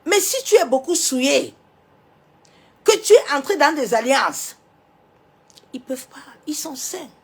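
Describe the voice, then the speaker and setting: A woman's voice: high voice, expressive, measured pace, long pauses. Woman pastor with a cold, preaching. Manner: swings from loud emphatic declarations to soft near-whispers; preaching with rhetorical asides and interjections. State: has a cold, coughing. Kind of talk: sermon.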